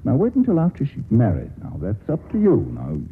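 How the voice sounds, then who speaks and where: A wry, urbane writer. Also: male voice, medium pitch, wildly swooping pitch, a little fast, a little hiss or hum. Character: witty urbane man-about-town writer, wry and breezy. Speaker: radio drama actor. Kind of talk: 1940s radio acting. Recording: tinny old radio broadcast.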